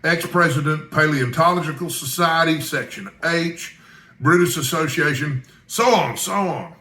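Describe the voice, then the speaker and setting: A man's voice: a little high, normal speed, pushed and bright, echoey room. A man voicing a kindly, shrewd older Scottish news editor from a storybook, with a wry, expansive manner. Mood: brisk, clipped.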